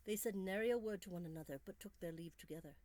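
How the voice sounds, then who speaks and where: A woman's voice: on the low side, dry recording. Woman narrating gothic romance, dry sound. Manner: measured, expressive storytelling. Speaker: author reading her own story.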